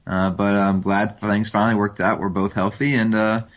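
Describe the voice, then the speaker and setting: A man's voice: lowish voice, level tone, normal speed. A man guesting on a sports podcast over the line, making earnest, analytical points with plenty of fillers. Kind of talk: casual sports chat. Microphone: phone line.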